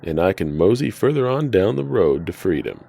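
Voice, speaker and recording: A man plays a self-serving drifter in an audio drama. His gravelly, fairly low voice swings widely in pitch, and his delivery is sardonic and laid-back. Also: sing-song, moderate pace, mellow, full character voice.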